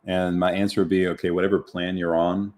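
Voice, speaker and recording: Very deep-voiced man explaining calmly. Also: a little fast. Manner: calm, explanatory, accountant-like reasoning. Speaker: co-host of a live product demo. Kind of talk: casual live-stream chat.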